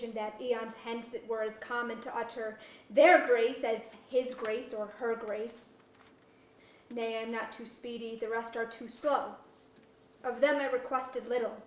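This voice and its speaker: A woman's voice: fairly high pitch, close to an everyday voice. A woman playing a brooding, genderless magical royal in archaic verse at a staged reading. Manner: grand archaic verse delivery, self-questioning. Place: museum auditorium. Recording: distant microphone in echoey auditorium.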